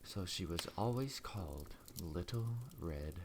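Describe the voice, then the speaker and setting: A soft-spoken man giving a gentle, unhurried, hushed ASMR bedtime story reading. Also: low-pitched, lively intonation, background noise.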